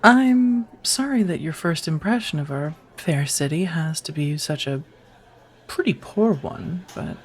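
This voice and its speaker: A woman's voice: low-pitched, expressive, measured pace. A woman playing a friendly, teasing firefighter on a date in an intimate, casual ASMR romance roleplay, chatty and reassuring. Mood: friendly, curious. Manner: chatty, playfully wry, reassuring, sprinkled with soft laughs.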